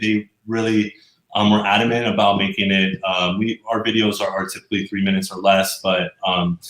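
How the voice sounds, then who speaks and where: Echoey, fairly low-voiced man, hesitant. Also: fairly bright tone. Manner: hesitant, halting, agreeable. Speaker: interview guest, animated explainer video professional. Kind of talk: casual explanatory interview answers. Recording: echoey, roomy sound.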